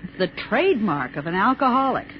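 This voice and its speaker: A woman's voice: medium pitch, sing-song, fairly quick, close to an everyday voice. Woman playing an anxious silent-film leading lady. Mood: worried, cutting. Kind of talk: 1960s radio drama acting. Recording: muffled, hissy old radio broadcast.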